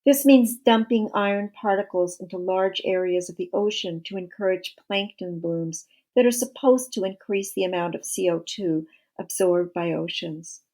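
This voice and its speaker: A woman reading scripted non-fiction narration for an audiobook in a measured, explanatory way. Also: mid-range voice, a little mellow.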